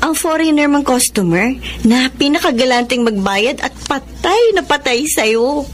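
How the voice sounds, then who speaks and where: A woman's voice: high-pitched, animated, a little slow, a little hiss or hum. A woman with a Filipino accent playing an older, greedy, coaxing pimp in a radio drama, stretching words persuasively. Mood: sly, coaxing.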